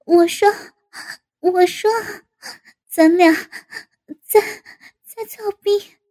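A woman playing a shy, flustered middle-aged sister who speaks breathlessly and bashfully. The voice is very high yet soft and mellow, with a slow, drawn-out delivery. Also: fairly even intonation, heavily acted.

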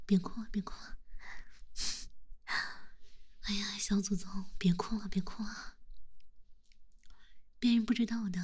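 A woman voicing a strict male teacher who is also a doting husband, in a whispered ASMR roleplay with a breathy, close, intimate delivery. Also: medium pitch. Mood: coaxing. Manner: close, intimate, soft-spoken.

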